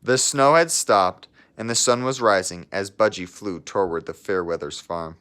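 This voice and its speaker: A man with a fairly low voice reads a children's story aloud. He narrates in a measured, teacherly way with pauses and slips into casual talk to his young listeners. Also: fairly bright tone, clear, resonant tone.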